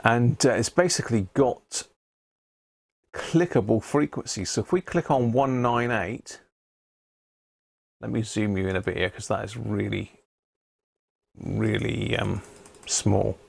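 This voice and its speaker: A man's voice: lowish voice, measured pace, gravelly, pauses often, breathy edge. A man hosting a casual live stream, explaining things informally and without hurry as he demonstrates his hobby.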